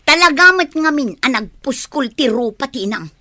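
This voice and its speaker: An exasperated, outspoken Ilocano woman in a radio drama. Her voice is high and swings widely as she complains and sighs. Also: lively intonation, moderate pace, sharp tone, heavily acted. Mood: resentful, complaining. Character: exasperated, outspoken woman, Frank's partner Roslyn.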